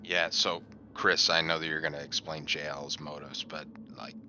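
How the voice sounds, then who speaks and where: Casting: man, extremely deep yet sharp, forceful voice, casual game player, dull lo-fi recording with faint noise. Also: flat delivery, normal speed. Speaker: tabletop roleplaying game player. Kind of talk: casual chat.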